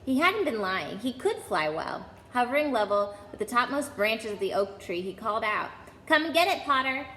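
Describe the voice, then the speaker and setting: A woman's voice: mid-range voice, lively intonation, normal speed, a bit bright. Female storyteller reading children's fiction, mid-range voice, reverberant hall sound. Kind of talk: reading a children's novel aloud. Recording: echoey hall, faint background noise.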